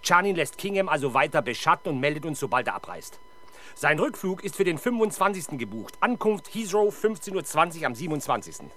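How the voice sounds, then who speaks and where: Brusque, bright-voiced police inspector. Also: male voice, on the high side, big pitch swings, fairly quick, a little hiss or hum. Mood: dry, businesslike. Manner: brusque, sarcastic, demanding. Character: gruff, impatient male police inspector, sardonic and hard-driven. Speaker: actor in a crime radio play. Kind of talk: 1970s German radio drama acting.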